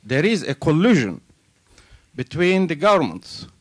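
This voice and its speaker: A man's voice: a little high, sing-song, a little slow. A man speaking from the audience at a panel session. He stumbles and restarts at first, then builds a firm argument and ends by asking the panel to respond. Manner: starts hesitant, then argues firmly. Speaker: audience questioner. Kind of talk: question from the floor.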